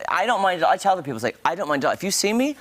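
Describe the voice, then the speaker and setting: A man's voice: high voice, very animated, quick pace, a bit bright. A man hosting a morning TV show, chatting casually with a guest and laughing often. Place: TV studio.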